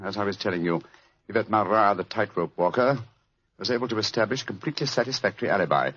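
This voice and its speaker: An actor playing a keen, commanding English gentleman detective, brisk and precise, with a British accent in a radio drama. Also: on the low side, breathy edge. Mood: grave. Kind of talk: 1940s radio acting. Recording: muffled, hissy old-time radio recording with clicks.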